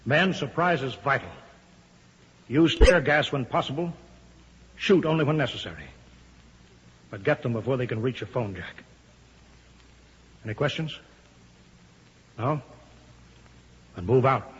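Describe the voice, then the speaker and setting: Chief engineer, medium pitch, urgent clipped authority, vintage radio acting, muffled recording. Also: male voice, slightly rough. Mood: grim, commanding. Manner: brisk, clipped, commanding. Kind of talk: 1950s radio drama acting.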